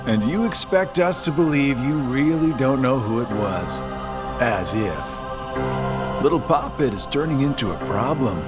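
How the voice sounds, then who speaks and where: A man's voice: medium pitch, animated, measured pace, loud music behind the voice, natural voice. An actor playing a sly, mocking villain henchman with a drawling, taunting delivery in a video game cutscene. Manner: drawling, taunting, chuckling sarcasm.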